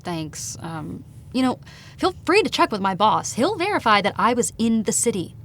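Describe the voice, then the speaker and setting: An actress playing an anxious, grieving young woman in an audio drama, halting and incredulous, often sighing. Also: on the high side, sing-song, a little hiss or hum. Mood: subdued, grieving. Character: anxious, grieving young woman, defensive about her dead father.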